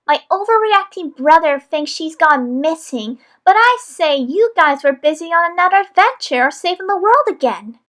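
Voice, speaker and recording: A woman's voice: extremely high pitch, animated, normal speed, few pauses, a bit bright, pitched above the performer's own voice. A woman voicing a cute, plucky young female pony in a dramatic reading of a cartoon story. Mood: concerned.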